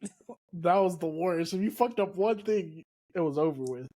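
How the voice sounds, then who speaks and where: Higher-pitched man telling stories, first language Spanish. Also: animated, moderate pace, mellow. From California, USA. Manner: storytelling, swears casually. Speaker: comedian guest. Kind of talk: casual chat.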